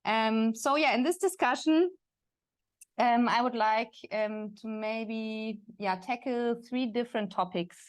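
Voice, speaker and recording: A woman's voice: fairly high pitch, slow. Young woman moderating a panel. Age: young adult. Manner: friendly, many "um" fillers.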